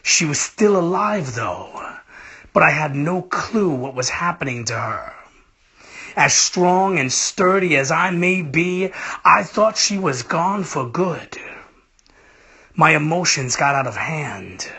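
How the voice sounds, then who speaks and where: Slow, dramatic male storyteller with husky edge. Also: on the high side, lively intonation. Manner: theatrical, emotive, drawn-out delivery with breathy hushed phrase endings. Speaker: reader of a short story. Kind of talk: dramatic literary narration in first person.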